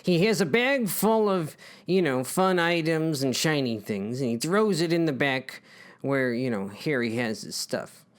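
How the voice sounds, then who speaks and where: Animated, mock-dramatic male storyteller. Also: on the high side, a little slow. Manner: mock-dramatic, conversational asides. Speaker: writer and narrator of a comic story. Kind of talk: comic, informal dramatic storytelling.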